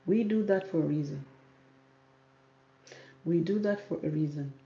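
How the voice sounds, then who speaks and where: Firm woman with a dark gravelly voice. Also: low-pitched, a little slow. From South Sudan, raised in Kenya. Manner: firm, repeats herself for emphasis. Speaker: YouTube hair channel host. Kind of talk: livestream Q&A reading viewer comments. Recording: roomy, dull audio with faint noise.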